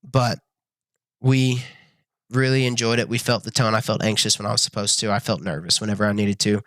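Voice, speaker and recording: A young man reviewing a show to camera in a casual, earnest way, full of ums and restarts, his voice a little raspy from being sick. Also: lowish voice, fairly even intonation, brisk, fairly bright tone, no room sound, studio quality. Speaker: YouTube reviewer.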